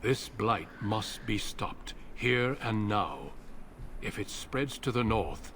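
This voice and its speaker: Solemn old soldier-leader from a fantasy game, lowish voice, measured, music going under it. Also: male voice, animated, fairly bright tone, exaggerated voice. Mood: grave. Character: grave veteran male commander of warriors.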